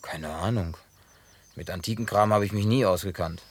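A man's voice: low voice, normal speed, slight background noise, natural voice. An actor in a German radio play, voicing a blunt, boastful, evasive man who talks colloquially. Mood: gruff, offhand.

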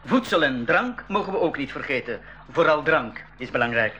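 A man playing a practical, cautious jungle guide in a radio drama, instructing in a deliberate way. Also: a little high, expressive, bright, forceful tone, dull audio, slight background noise. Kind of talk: 1980s school radio drama acting.

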